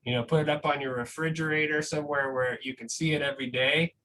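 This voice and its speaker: A man's voice: a little high, steady tone, fast, pushed and bright, poor audio. A man reading a picture book to school families and chatting about it in an encouraging, conversational way. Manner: encouraging, conversational, often checks in with "right?". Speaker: school reader addressing families. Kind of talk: picture-book read-aloud with casual commentary. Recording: noticeable background noise.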